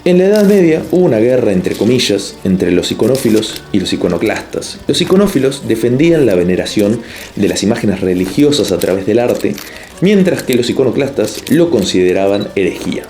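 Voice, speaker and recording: Un hombre que narra un ensayo en video con un tono pausado y explicativo. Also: male voice, on the low side, very animated, mellow. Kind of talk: scripted narration.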